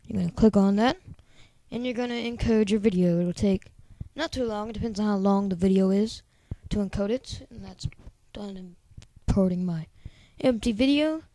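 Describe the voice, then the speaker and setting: Squeaky high-voiced guy, chatty. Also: normal speed, dark tone, no echo. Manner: rambling, chatty, lots of filler words. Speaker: tutorial host. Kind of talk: casual screen-recording tutorial.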